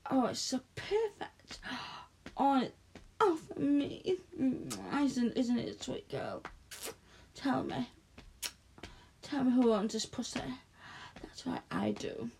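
A woman speaking softly and coaxingly in an intimate audio roleplay, close to the mic, often dropping to a whisper. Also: high voice, wildly swooping pitch, a little hiss or hum. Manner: soft, coaxing, slow and breathy with frequent whispers.

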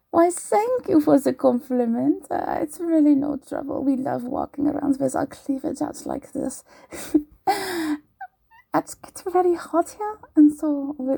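A woman's voice: high-pitched, mellow, heavily acted. A woman putting on a giggly German-accented tavern maid. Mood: flirty. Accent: put-on German accent. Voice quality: breathy, whispered. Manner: giggly, teasing, slow. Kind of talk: roleplay audio.

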